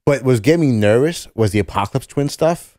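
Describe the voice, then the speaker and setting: A man's voice: mid-range voice, lively intonation, a little fast, a little mellow, no room sound, studio quality. A man co-hosting a podcast, talking comics in an enthusiastic, rambling, slangy way. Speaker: podcast co-host. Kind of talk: casual comics chat.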